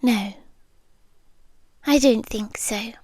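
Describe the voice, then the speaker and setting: A British woman voicing a possessive, centuries-old creature girlfriend in an intimate ASMR roleplay, soft-spoken and often whispering, close to the microphone. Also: fairly high pitch, slightly rough, dry recording, heavily acted. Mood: calm, knowing. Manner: slow, intimate, deliberate pauses, slipping into whispers. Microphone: close, dry microphone.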